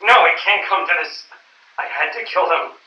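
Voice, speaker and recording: A man's voice: high-pitched, normal speed, lightly acted. A man playing a greedy, scheming male chemist villain in a live old-time radio drama, gloating and menacing. Heard in a live stage recording. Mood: desperate. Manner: gloating, menacing, then panicky. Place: convention stage before an audience. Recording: roomy, distant, dull live stage recording.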